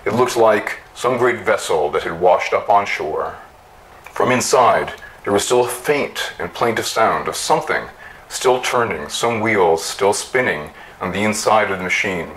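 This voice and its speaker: A middle-aged man reading a story aloud in a theatrical, deliberate manner. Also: fairly low pitch, animated, fairly bright tone, a little hiss or hum, low-quality recording. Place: small room with bookshelves.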